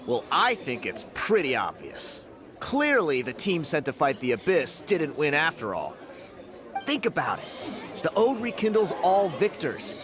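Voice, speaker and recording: A man's voice: on the high side, wildly swooping pitch, a little slow, crowd noise. A man voicing a sneering, jealous young man in a game, mocking and dismissive. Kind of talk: game voice acting.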